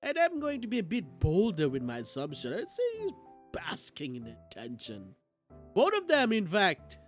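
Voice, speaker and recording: A man reading a visual novel aloud on a livestream in an expressive, theatrical way, as a game streamer. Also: high-pitched, moderate pace. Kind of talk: livestream playthrough, dramatic read-aloud of visual novel narration. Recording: music playing behind the voice. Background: game music.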